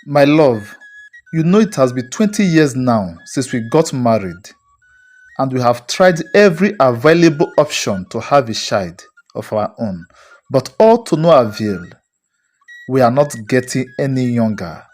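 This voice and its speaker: An actor playing a calm, loving middle-aged husband in a dramatised folktale, speaking in a soothing, earnest way. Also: male voice, medium pitch, a little slow, faint music behind. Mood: gentle, earnest.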